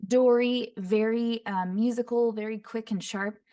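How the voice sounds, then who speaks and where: A woman voice actor teaching voice impressions, describing each voice in short phrases and often breaking into quick character demos. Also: a little high, steady tone, mellow. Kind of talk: voice impression tutorial. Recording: voice somewhat far from the mic.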